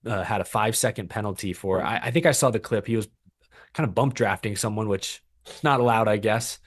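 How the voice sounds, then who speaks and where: A man with a fairly low voice co-hosts a casual racing podcast. He talks loosely, laughs often and restarts his sentences as he goes. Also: brisk. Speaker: podcast co-host. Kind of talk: casual chat.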